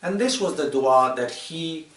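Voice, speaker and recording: A man in his fifties from Tanzania, speaking to a group of children in a measured, explanatory way with pauses and fillers. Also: a little high, expressive, a bit bright. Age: 50s. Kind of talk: informal talk to children. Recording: echoey room, distant mic.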